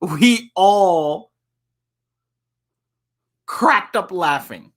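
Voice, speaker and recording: A man's voice: high-pitched, expressive, drawn-out words. A man reading a children's book aloud as a storyteller, playful and given to chuckling asides.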